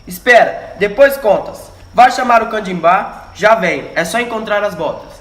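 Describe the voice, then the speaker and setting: Man acting a brusque, high-pitched guerrilla commander. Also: lively intonation, moderate pace, a bit bright. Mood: curt, decisive. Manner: curt questions and barked orders. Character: male guerrilla commander, brusque, urgent, decisive. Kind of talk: amateur radio drama acting. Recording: roomy, echoey amateur recording.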